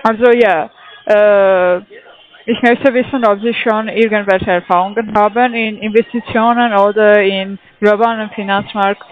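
A man calling as a call-center agent, speaking German haltingly with many fillers. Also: sits high, steady tone, muffled recording, faint music behind. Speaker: scam call-center caller.

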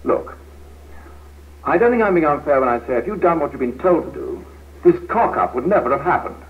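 Old tinny training film, curt sarcastic british manager, middle aged, voice goes up and down a lot. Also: male voice, on the high side, sing-song, a little fast. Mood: stern, resigned. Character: impatient, self-righteous middle-aged male office manager. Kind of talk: 1970s training film acting. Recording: tinny, noisy 1970s film soundtrack.

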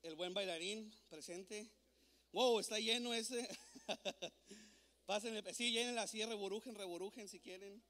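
A man, speaking in a strikingly high, bright voice that rings through a large auditorium's long reverb. He preaches to a youth crowd on a handheld mic, teasing and coaxing them with jokes. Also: measured pace, pauses often. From born in Mexico, raised in Texas. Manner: playful, joking, coaxing the crowd. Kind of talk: lively youth sermon. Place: large church auditorium with stage. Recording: echoey hall recording.

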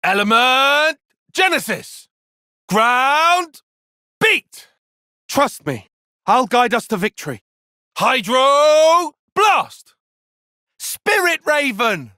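A man's voice: very high-pitched, big pitch swings, extremely slow, long pauses, bright, forceful tone, no echo, clean studio sound, exaggerated voice. An actor voicing an earnest, calculating and proud young male tactician in a video game, speaking formally and precisely with intensity. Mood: battle shouting, then confident. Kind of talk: video game battle voice lines.

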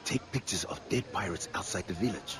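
A man's voice: low voice, fairly quick, close to an everyday voice. A male actor playing an earnest, unsettlingly eager island villager in a video game, solemn and gushing by turns. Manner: solemn, turning gushing and creepy. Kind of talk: video game character acting.

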